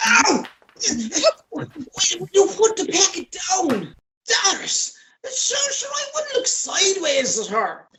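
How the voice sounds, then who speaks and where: A woman's voice: very high-pitched, very animated, takes it slowly, fairly bright tone, a little hiss or hum, exaggerated voice, low-quality recording. A woman playing a hapless, flustered man who pleads and protests in a radio play. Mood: pained, protesting.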